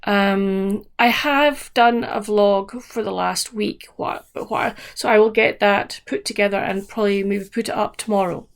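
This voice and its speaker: A woman chatting casually to camera as a book vlogger, her voice croaky, in a meandering, soft-spoken way. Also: mid-range voice, steady tone, measured pace, hardly any pauses.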